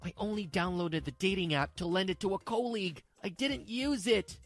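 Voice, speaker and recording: A woman's voice: mid-range voice, normal speed, soft background music, natural voice. A woman voicing a self-pitying, evasive young husband in a dubbed manga drama, stammering and pleading his excuses. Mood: indignant denial.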